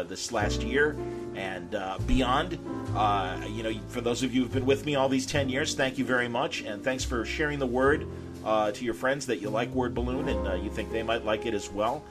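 A male podcast host speaks in an unscripted, conversational way. His delivery rambles a little, with plenty of hesitations, and his pitch sits in the middle range for a man. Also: moderate pace, loud music behind the voice. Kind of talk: casual chat, unscripted sign-off to listeners.

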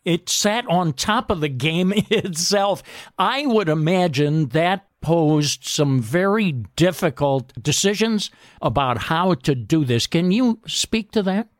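A man hosting a sports radio show, asking questions and signing off in a measured, courteous way. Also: a little high, animated, no echo, studio quality. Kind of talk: radio interview and sign-off.

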